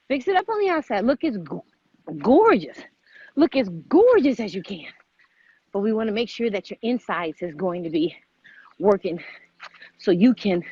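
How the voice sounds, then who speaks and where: Husky, higher-pitched woman in motivational vlog. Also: dry recording, lo-fi sound. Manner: repetitive, exhorting. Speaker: vlog host. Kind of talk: casual motivational fitness talk. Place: outdoors.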